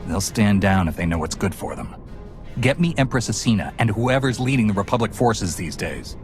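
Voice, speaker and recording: An actor playing a resolute, principled military commander in a sci-fi game, giving clipped orders with authority. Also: male voice, fairly low pitch, lively intonation, moderate pace, close to an everyday voice. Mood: commanding, determined. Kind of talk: cinematic video game acting. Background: music.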